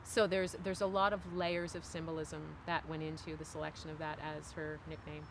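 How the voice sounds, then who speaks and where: A woman's voice: low voice, little pitch movement, moderate pace, background noise. A woman in her forties from Cape Breton, Canada, giving thoughtful, emphatic, conversational answers as an interview guest. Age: 40s. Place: outdoors on a wooden bench by woods.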